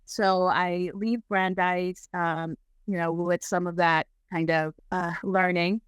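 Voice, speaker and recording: A woman from Jamaica with a lowish, smooth voice tells her story as a podcast guest, thoughtful and unhurried, with many ums along the way. Her voice is recorded close and dry. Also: little pitch movement, no echo. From Jamaica, raised in Brooklyn. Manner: reflective, measured, with many fillers. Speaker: guest, HR executive. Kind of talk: conversational interview.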